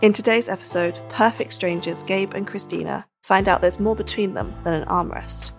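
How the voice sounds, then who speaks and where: A woman narrating the scripted introduction to a series of true love stories, with a smooth storytelling delivery. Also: mid-range voice, normal speed, faint music behind. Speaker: show narrator.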